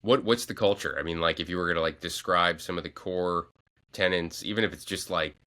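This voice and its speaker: A man's voice: sits low, steady tone, fairly quick, bright, forceful tone. A man co-hosting a podcast, asking probing follow-up questions hesitantly, with restarts. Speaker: podcast co-host. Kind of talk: casual conversational interview.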